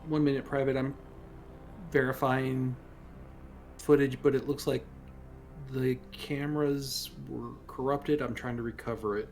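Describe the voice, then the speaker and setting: A man's voice: medium pitch, little pitch movement, unhurried, darkish tone, soft background music. A man playing a tabletop roleplaying game, talking casually as he describes what his character does.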